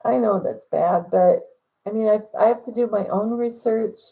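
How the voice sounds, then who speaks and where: Quiet, gravelly-voiced woman on a livestream. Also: mid-range voice, moderate pace, dull audio. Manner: rambling, lots of fillers and restarts. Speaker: livestream host answering viewer questions. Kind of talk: casual livestream chat. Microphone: phone.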